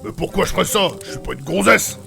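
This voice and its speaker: Guy doing a super gravelly macho adventurer, grumbly and crude, voice swings around, fantasy comedy with music. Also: sits high, lively intonation, quick pace, heavily acted. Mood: grumbling. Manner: blunt, foul-mouthed, grumbling. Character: gruff, crude, mocking male adventurer, bluntly macho. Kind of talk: comedic fantasy audio drama acting.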